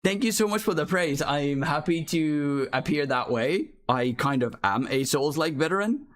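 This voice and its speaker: A man's voice: on the high side, expressive, normal speed, fairly bright tone. A man from Finland, chatting as a streamer over live gameplay and reading chat aloud, often apologizing.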